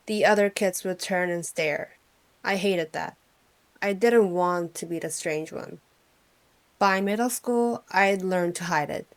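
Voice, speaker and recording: Wry, reflective young woman from Korea. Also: medium pitch. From South Korea. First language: English. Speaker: English teacher and channel host. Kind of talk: personal storytelling to camera.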